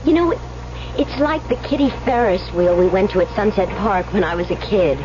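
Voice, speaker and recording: A woman's voice: mid-range voice, animated, moderate pace, lightly acted. An actress playing an innocent, trusting young woman in an old-time radio mystery, with a hesitant, girlish manner. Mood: delighted. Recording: dull, noisy old radio recording.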